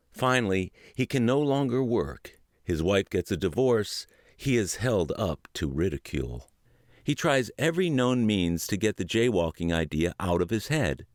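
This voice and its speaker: Male audiobook reader, lowish voice, lively earnest phrasing, dry close mic. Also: dry recording. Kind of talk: word-for-word book reading.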